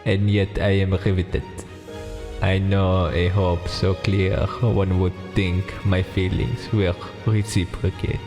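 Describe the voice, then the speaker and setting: A man reading a visual novel aloud for a let's play, slow and dramatic, stopping to joke with a friend. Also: low-pitched, flat delivery, in a large hall, over music. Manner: reads slowly with pauses, breaks off to joke and laugh.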